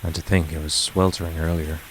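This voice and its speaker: Man playing a low-voiced, weary male teacher. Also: level tone, a little fast, slight background noise, low-quality recording. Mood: resigned. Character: weary, aloof adult male math teacher. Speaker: narrator and lead character. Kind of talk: visual novel fandub, first-person narration and dialogue. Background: music and rain sounds.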